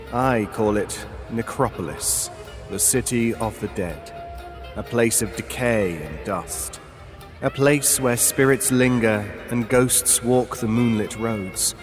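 A mysterious male stranger of radio horror, low and theatrical. He speaks with slow, foreboding deliberation in a long reverb, with music behind him. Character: mysterious male Stranger, ominous, dry, pedantic, unhurried. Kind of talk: old-time radio horror acting.

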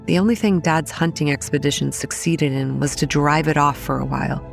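An actress playing a haunted young woman, narrating childhood horrors in a solemn, deliberate first-person storytelling style. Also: deep voice, fairly even intonation, creaky, soft background music. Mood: tense, grim.

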